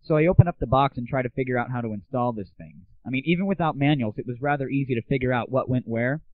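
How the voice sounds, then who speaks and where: A man's voice: medium pitch, fast talker, no echo. Male host, brash and crude, casual monologue, dry, tinny lo-fi.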